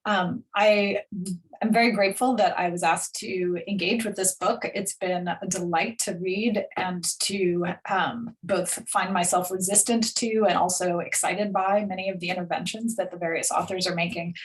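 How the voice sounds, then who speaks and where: A woman giving academic commentary on a book at a panel talk, measured and thoughtful, with frequent ums and soft laughs, speaking over a video call. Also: fairly low pitch, fairly even intonation, low-quality recording. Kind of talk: academic commentary read from notes.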